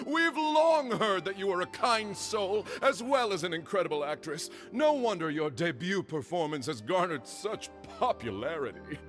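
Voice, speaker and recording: Fawning, high-voiced journalist. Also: male voice, big pitch swings, unhurried, exaggerated voice. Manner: fawning, effusive. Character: fawning male journalist, overly flattering. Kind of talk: game voice acting. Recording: game dialogue with soft music behind.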